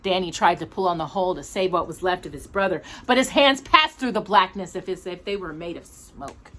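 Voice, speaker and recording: A woman reading a children's picture book aloud in expressive storytime narration. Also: lowish voice, a little fast.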